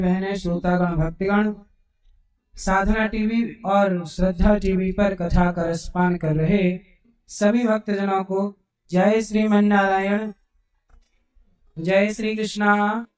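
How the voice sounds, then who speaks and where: Hoarse, slow-spoken male preacher in a roomy hall. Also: high voice, flat delivery. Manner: unhurried, asks the crowd questions and answers them. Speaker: Bhagavat katha preacher. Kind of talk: devotional sermon to a live gathering. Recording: roomy sound from a distant mic.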